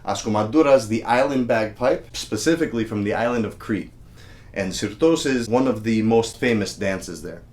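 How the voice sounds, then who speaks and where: A man's voice: on the low side, moderate pace, darkish tone. A man giving a casual, friendly video lesson on a traditional instrument, counting beats aloud. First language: English. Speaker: music teacher.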